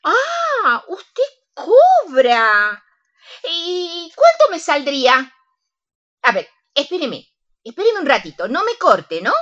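Giddy older Argentine lady played by an actress, radio comedy: high, swooping voice, hesitant and over-polite. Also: huge pitch swings, slow, fairly bright tone, muffled recording, exaggerated voice. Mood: nervous, eager. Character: giddy, daring older woman, nervous and tipsy. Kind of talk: comic radio play acting.

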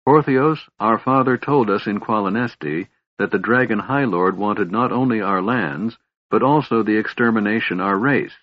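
Man voicing a young elven woman, husky. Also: fairly low pitch, normal speed, no echo, muffled recording, close to an everyday voice. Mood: defiant, angry. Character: proud young elven woman, wounded and defiant.

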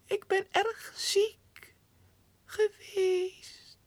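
An actor voicing a small talking dog that yaps and whines human words in a 1960s radio drama. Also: male voice, very high-pitched, little pitch movement, extremely slow, dry recording, voice pushed up, full character voice. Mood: whimpering yaps. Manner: yapping, whining.

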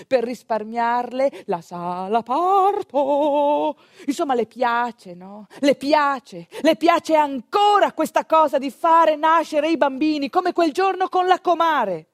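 A woman delivering a theatrical monologue in a conversational way, with pointed pauses and rhetorical asides. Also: on the high side, no room sound, clean studio sound. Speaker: stage actress narrating a monologue.

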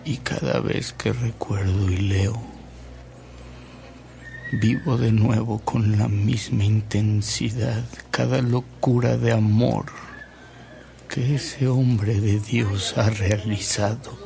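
An actor playing a devout, earnest older man in a Mexican radio drama, speaking solemnly and deliberately with dramatic pauses. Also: fairly low pitch, fairly even intonation, vocal fry, noisy background. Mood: solemn, reverent. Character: frail, devout older man, a converted skeptic, reverent and earnest.

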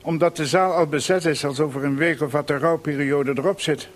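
A man's voice: on the high side, fairly even intonation, a little fast, lightly acted. Man playing firm, condescending theatre manager. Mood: dry, unmoved. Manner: matter-of-fact, patronising, firm. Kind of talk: radio play acting.

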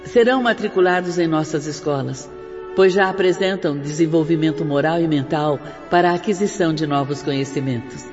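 A woman's voice: sits low, brisk, a little mellow, close to an everyday voice. An actress playing an earnest female spirit teacher in a radio drama, with formal, preaching diction. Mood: earnest, hopeful. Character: Sister Doris, earnest female spirit teacher of moral re-education. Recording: dull reverberant recording with music.

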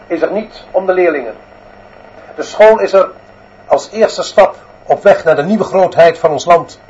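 An actor playing a dictatorial headmaster in a radio play, declaiming sternly with deliberate phrasing. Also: male voice, high-pitched, muffled recording, a little hiss or hum. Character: dictatorial male headmaster preaching discipline and national greatness.

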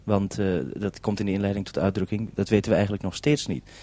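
Mellow-voiced radio man, dry sound. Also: lowish voice, level tone, fast, dry recording. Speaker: radio host. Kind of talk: two-way radio call before a broadcast, giving instructions.

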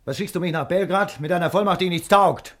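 An aggrieved, plain-spoken man in a 1950s radio drama. The voice is a little high, and he talks bluntly and emphatically, as someone quick to take offence. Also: fairly bright tone. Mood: angry, reproachful.

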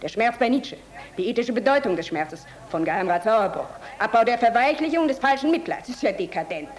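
Actress as a brazen, high-voiced young fanatic woman. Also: lively intonation, a little fast, natural voice. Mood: fervent, boastful. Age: young adult. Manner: brazen, taunting, fervent. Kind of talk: German radio drama acting.

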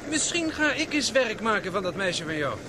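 A man's voice: sits high, big pitch swings, normal speed. An actor playing a jealous young man who teases and provokes his rival in a radio play. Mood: needling. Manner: teasing, provocative. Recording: boat engine noise.

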